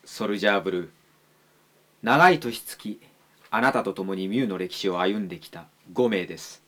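A man playing a dutiful, deferential captain in an amateur radio drama, formal, earnest and measured. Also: deep voice, pushed and bright. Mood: respectful. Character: dutiful, deferential male captain serving his leader.

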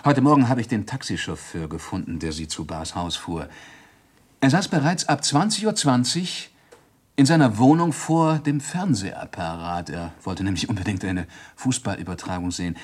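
A shrewd, methodical police inspector in a sixties radio crime play. His voice is husky and mid-pitched. He questions formally and deliberately, with steady insistence. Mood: matter-of-fact, probing. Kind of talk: 1960s German radio crime drama acting.

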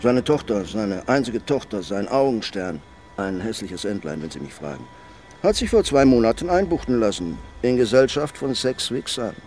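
An actor playing a blunt, mocking police detective with a coarse manner in a radio crime drama. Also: male voice, on the low side, big pitch swings, moderate pace, a little mellow, a little hiss or hum. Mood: sardonic. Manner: coarse, sardonic.

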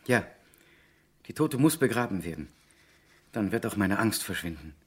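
A man's voice: on the low side, big pitch swings, moderate pace, husky. An actor playing a troubled, insistent accused man in a crime radio drama. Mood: troubled, subdued. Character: accused male driver Latour, troubled, insistent, indignant.

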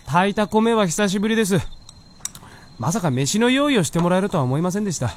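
A man's voice: high-pitched, animated, moderate pace, slight background noise. A man playing a polite, inquisitive companion to a princess in a period radio drama. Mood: curious, polite.

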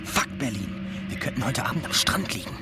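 A man's voice: medium pitch, big pitch swings, a little fast, very noisy, natural voice. A man playing a grumpy, coarse young traveller with slangy speech in a radio drama. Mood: disgruntled. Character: grumpy, sweary, vengeful young male traveller. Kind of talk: naturalistic radio drama dialogue.

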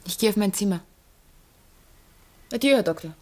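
A woman's voice: mid-range voice, lively intonation, moderate pace, lightly acted. An actress playing a fatalistic young lady in a German radio drama, speaking in a cool, self-possessed manner. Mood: polite. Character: detached, fatalistic young lady, daughter of the house.

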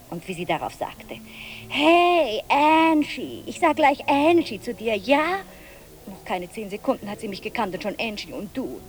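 A woman's voice: high-pitched, a little hiss or hum. An actress playing a snobbish, overbearing wife and mother in a radio drama, with a prim and theatrical manner.

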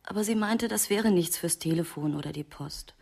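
An actress playing a murder victim's grown daughter, a new mother, subdued and measured in a radio crime drama. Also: lowish voice, gravelly, darkish tone, slightly rough. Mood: reflective.

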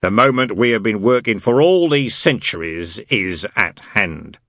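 A man voicing a malicious senior male demon who speaks slowly and coldly. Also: fairly low pitch, lively intonation, fairly bright tone, dry recording. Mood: menacing. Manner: slow, drawn-out, menacing delivery.

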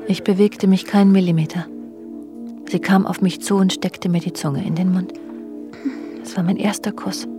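A woman's voice: on the low side, steady tone, moderate pace, pauses often, natural voice. An actress playing an introspective, bitterly observant woman narrating her youth in a radio drama, sober and intimate. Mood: tense, restrained. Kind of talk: literary first-person radio drama narration. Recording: music behind the voice. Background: music.

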